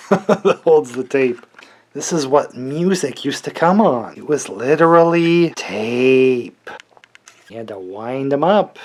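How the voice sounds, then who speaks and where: A man roughly in his thirties or forties talks through a collectible unboxing in a casual, chatty way. His pitch sits in the middle for a man. He drops in wry, joking asides as he goes, like a hobbyist showing things to viewers. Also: darkish tone, slight background noise. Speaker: collector hosting an unboxing video.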